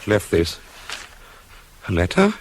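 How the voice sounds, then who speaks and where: A man's voice: fairly low pitch, wildly swooping pitch, a little hiss or hum, heavily acted. An actor playing a proud, widowed ancient Greek king in a radio play, declaiming tragic verse with grief and rage. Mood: hushed grief. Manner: declamatory, slow, heavily dramatic.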